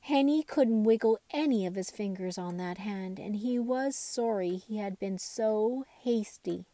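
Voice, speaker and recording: A woman reads a bedtime tale for children in a soft-edged, mellow voice. Her delivery is expressive and deliberate, as a scripted storybook narration. The recording is very dry, with no room sound. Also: mid-range voice, dry recording. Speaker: storybook reader.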